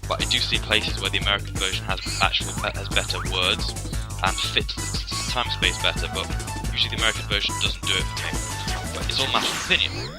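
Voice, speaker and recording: A man's voice: on the low side, fairly even intonation, quick pace, gravelly. A man casually commenting over a video game, rambling with many restarts and fillers. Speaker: let's play host. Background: game music.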